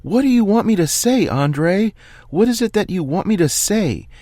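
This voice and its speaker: A man voicing a furious male tennis coach who shouts, scolds and pleads with his player. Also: sits high, very animated, moderate pace, no echo, studio quality, voice pushed up. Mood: fierce.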